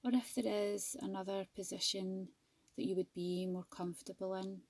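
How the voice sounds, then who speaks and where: A woman teaching yoga nidra as a guided meditation, speaking softly and soothingly with gentle, measured pauses. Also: medium pitch, flat delivery, creaky, no echo.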